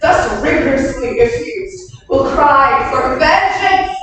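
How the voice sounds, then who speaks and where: Woman acting defiant young holy maid, impassioned stage verse, unhurried delivery, distant hall recording. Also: high voice, lively intonation, fairly bright tone, full character voice. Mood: proud, indignant. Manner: declamatory, deliberate, impassioned. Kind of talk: staged reading of Shakespearean history play. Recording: distant echoey hall recording, faint noise.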